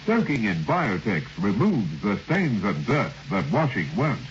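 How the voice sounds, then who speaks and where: A man's voice: fairly low pitch, wildly swooping pitch, unhurried. A man announcing a vintage radio commercial in an emphatic, clipped selling manner. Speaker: advert announcer. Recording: muffled, noisy old radio recording.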